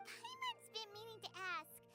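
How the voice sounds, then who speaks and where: A woman voicing a small, chirpy, outspoken fairy companion in a video game, with a squeaky childlike voice. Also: moderate pace, heavily acted. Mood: curious. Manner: speaks of self in third person, expressive. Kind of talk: video game character dialogue. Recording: loud music behind the voice.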